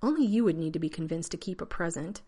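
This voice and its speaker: An irritable man of science who snaps back with impatience and barely hidden feeling, read by a woman. His lines come out raised in pitch and volume, exasperated and quick to bite. It is a storybook reading with little disguise of the voice. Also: expressive, warm tone, husky. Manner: snappish, exasperated. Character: irritable, sharp-tongued adult male scientist.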